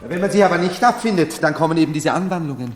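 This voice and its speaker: An actor playing an unsettled, imperious man who believes himself emperor, in solemn, theatrical radio drama style, halting and then rushing. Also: on the high side, expressive, close to an everyday voice. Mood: brooding, intense. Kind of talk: 1970s German radio drama acting. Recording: long hall reverb.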